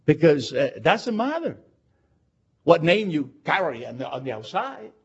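An older man from Argentina with an Argentine Spanish accent, preaching a sermon with emphatic, drawn-out words. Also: fairly high pitch, slightly rough. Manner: animated and emphatic, drawing out key words. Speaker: pastor. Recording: dull lo-fi recording.

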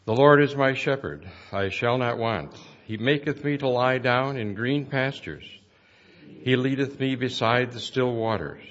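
A man reading scripture aloud at a memorial service in a measured, formal way. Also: medium pitch, fairly bright tone, dull audio. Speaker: church board vice chairman reading scripture.

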